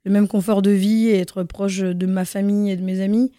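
A woman's voice: mid-range voice, level tone, a little fast, mellow, dry recording, studio quality. A young adult woman from Guatemala, a singer and actress, answering a radio interviewer in a casual, hesitant way with many fillers and restarts.

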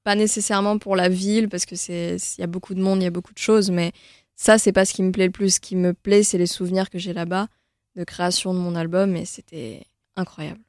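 A nineteen-year-old woman from southern France, a singer talking calmly and easily as a radio guest. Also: fairly low pitch, a little fast. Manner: calm, easygoing, soft-spoken. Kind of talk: relaxed interview talk. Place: radio studio.

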